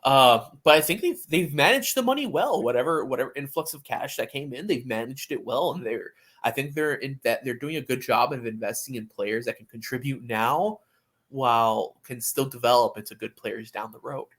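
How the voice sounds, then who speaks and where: A man co-hosting a sports podcast in casual chat, talking haltingly with repeated words and false starts. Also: mid-range voice, fairly bright tone.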